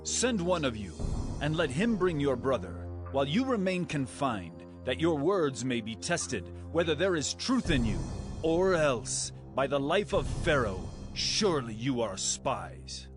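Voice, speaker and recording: An actor playing a stern, accusing governor of Egypt in a dramatized scripture recording, commanding and deliberate. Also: male voice, mid-range voice, very animated. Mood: stern, threatening. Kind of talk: dramatized scripture audio acting. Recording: music behind the voice.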